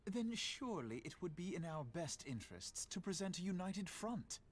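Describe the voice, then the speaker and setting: An actor playing an earnest, idealistic young diplomat in a fantasy game, speaking formally and eloquently. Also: male voice, high-pitched, very animated, moderate pace, slight background noise. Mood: questioning. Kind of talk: scripted fantasy game dialogue.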